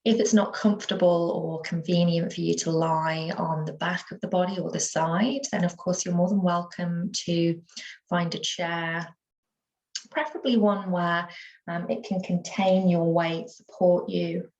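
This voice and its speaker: A woman teaching yoga nidra, guiding a relaxation meditation in a soothing, unhurried manner with gentle pauses. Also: lowish voice, fairly even intonation, lo-fi sound.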